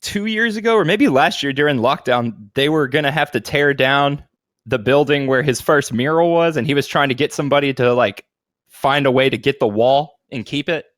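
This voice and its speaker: A man's voice: medium pitch, normal speed, a bit bright, no room sound. An American man from Texas chatting casually as a podcast co-host, in loose slang with free swearing. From Austin, Texas, United States. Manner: slangy, laid-back wording, swears freely.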